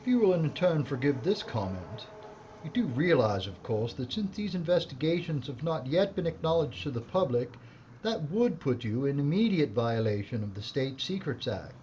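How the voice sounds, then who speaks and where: Actor as stern chief inspector, lively pitch, dull sound. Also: male voice, moderate pace, slight background noise. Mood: reproving. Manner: clipped, formal, reproving. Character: stern, skeptical British police chief inspector. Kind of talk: period mystery audio drama acting.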